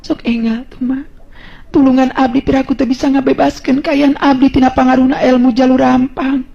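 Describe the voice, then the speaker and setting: A man's voice: extremely high pitch, flat delivery, normal speed, vocal fry, warm tone, voice pushed up, full character voice. A man voicing a helpless young captive noblewoman with a Sundanese accent, plaintive and imploring in a radio story. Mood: pleading, desperate. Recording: dull lo-fi radio-style recording.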